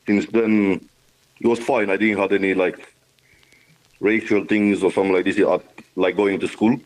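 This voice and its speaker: A man in his thirties speaks English with a Romanian accent in a somewhat low voice. His speech is halting and plain, with frequent restarts, as he chats in an interview. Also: fairly even intonation, low-quality recording. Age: 30s. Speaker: guest. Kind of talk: casual interview talk.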